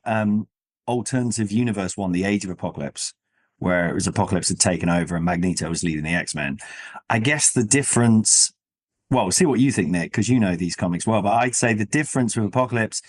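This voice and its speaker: A man with a fairly low voice hosts a casual chat show. He rambles and thinks aloud, often checking his own points with questions. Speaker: podcast host. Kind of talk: casual comic-book discussion.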